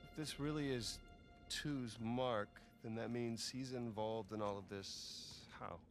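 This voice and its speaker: An actor playing a teasing, easygoing treasure hunter. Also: male voice, mid-range voice, takes it slowly, faint music behind. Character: teasing older-brother male treasure hunter.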